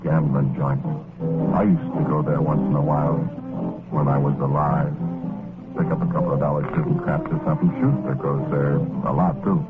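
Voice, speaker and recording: An actor playing a wistful working-class gambler ghost, narrating a 1940s radio drama in folksy, slangy talk. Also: male voice, a bass voice, level tone, moderate pace. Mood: matter-of-fact. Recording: tinny old radio recording, loud music behind.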